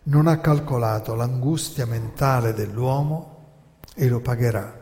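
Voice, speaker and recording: A man from Italy calmly narrating a historical story on the radio, dropping low at the ends of phrases. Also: a little slow. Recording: radio broadcast with long reverb.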